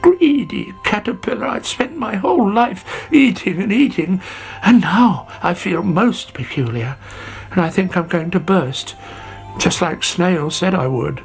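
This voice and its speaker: A man's voice: sits high, expressive, a little slow, gravelly, breathy edge, soft background music, pitched above the performer's own voice, heavily acted. A man voicing a cheeky, greedy young caterpillar with a dramatic, whiny, exclaiming delivery in a children's story. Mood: miserable.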